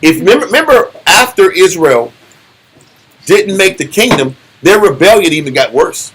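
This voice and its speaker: A middle-aged man leading a Bible study, teaching in an animated, preachy way and often repeating himself. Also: high-pitched, moderate pace, a little hiss or hum, poor audio.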